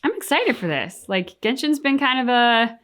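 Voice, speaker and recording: A woman's voice: on the high side, sing-song, moderate pace, a bit bright. A woman co-hosting a reaction show in casual, conversational chat.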